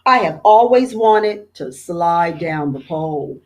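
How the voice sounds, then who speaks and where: A woman reading aloud voices a calm, good-natured young boy in a storybook. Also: lowish voice, takes it slowly.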